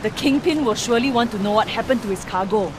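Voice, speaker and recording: A woman's voice: a little high, quick pace, close to an everyday voice. An actress playing a sly, spirited pirate first mate in a video game, teasing and theatrical, with some noise behind her. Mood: teasing, admiring. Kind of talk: video game dialogue acting.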